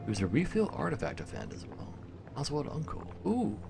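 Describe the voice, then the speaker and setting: A man's voice: mid-range voice, huge pitch swings, moderate pace, vocal fry, loud music behind the voice. A man livestreaming a game, switching between chatty commentary to his viewers and reading story text aloud as a narrator. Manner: chatty, talking to live viewers.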